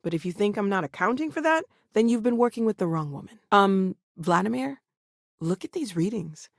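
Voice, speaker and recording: A woman's voice: mid-range voice, lively intonation, fairly quick, gravelly, no echo, studio quality. A woman playing an earnest, brainy scientist in a video game, thoughtful and slightly hesitant, eager but anxious. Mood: focused, earnest.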